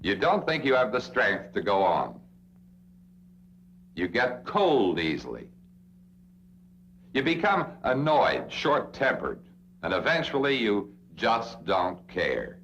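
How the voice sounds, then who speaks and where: A muffled, humming old film soundtrack carries a low-pitched American man. He narrates in 1960s instructional style, addressing the listener directly and emphatically, with faint noise behind him. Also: wildly swooping pitch, takes it slowly, pauses often, a bit bright. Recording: dull, noisy old film soundtrack with hum.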